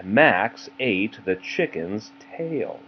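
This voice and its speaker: A man reading a children's picture book aloud, gently and patiently, with casual asides to a child. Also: fairly low pitch, animated, extremely slow, dull audio, a little hiss or hum. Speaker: read-aloud storyteller for a child.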